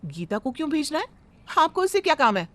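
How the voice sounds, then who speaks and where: A woman's voice: sits high, very animated, fairly quick, a bit bright, dry recording. A woman voicing a spoiled, cheeky young bride who talks back. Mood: sassy. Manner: cheeky, dismissive.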